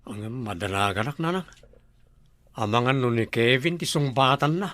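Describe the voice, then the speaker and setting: A man's voice: mid-range voice, normal speed, a bit bright, dry recording, close to an everyday voice. A young man playing a village suitor with an easygoing, joking way of talking.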